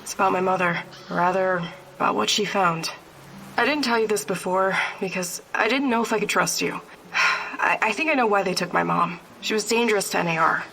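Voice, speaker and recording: A woman's voice: medium pitch, a little fast, vocal fry, sharp tone, a little hiss or hum, rough recording. An actress playing a tough, no-nonsense female fighter in a video game, direct and plain-spoken. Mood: guarded, confiding. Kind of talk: video game character acting.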